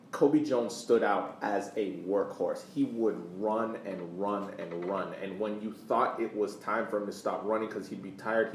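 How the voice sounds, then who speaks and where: Echoey, lowish-voiced male podcast co-host. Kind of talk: casual sports commentary. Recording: echoey room, distant mic, faint noise.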